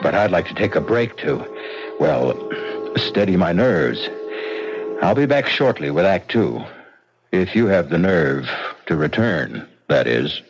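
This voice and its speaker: A sinister, deep-voiced host of a radio mystery hour, drawing out his words with a teasing menace. Music sits behind him on a dull old radio recording. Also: very animated, slightly rough, full character voice. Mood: ominous. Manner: slow, ominous, teasing delivery. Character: sinister middle-aged male host of mystery tales. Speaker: radio drama host narrator. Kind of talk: theatrical radio drama narration.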